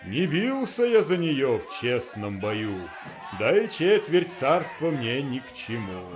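A man's voice: mid-range voice, very animated, slow pace. A man playing a brave, honourable young folk-tale hero in a cartoon, earnest and proud. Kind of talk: cartoon voice acting.

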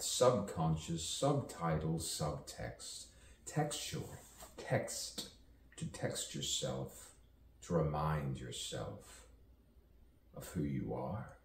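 A man performs a dramatic poetic monologue in a very low voice, unhurried and full of long pauses. His pitch moves widely as he muses and plays with rhyme. The recording is echoey, with the mic some way off. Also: creaky, a little mellow. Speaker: poet performing own monologue. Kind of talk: theatrical poetic soliloquy with wordplay and rhyme. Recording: roomy, distant mic, faint background noise.

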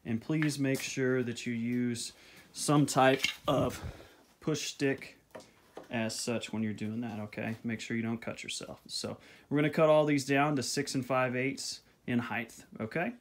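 A man's voice: medium pitch, fairly even intonation, measured pace, slight background noise. A man giving step-by-step woodworking instructions in his home workshop, talking plainly, repeating measurements and checking in with "okay?". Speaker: beekeeping video host. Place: home workshop at a table saw.

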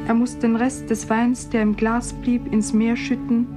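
A woman's voice: fairly high pitch, level tone, measured pace. A woman giving a recitation of poetry. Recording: music under the voice.

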